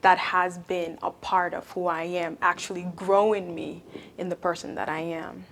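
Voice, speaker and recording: Woman, young adult, Jamaican accent, earnest, heartfelt, lowish voice, faint background hum. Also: flat delivery, moderate pace, creaky, fairly bright tone. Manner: earnest, heartfelt, sometimes laughing. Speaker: guest, accountant and business owner. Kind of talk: interview answers.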